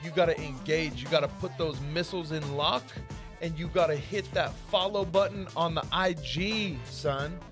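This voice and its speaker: A man's voice: on the high side, moderate pace, music in the background. A man wrapping up a podcast episode with rambling, slangy plugs for social media. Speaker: podcast host. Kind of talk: casual podcast outro.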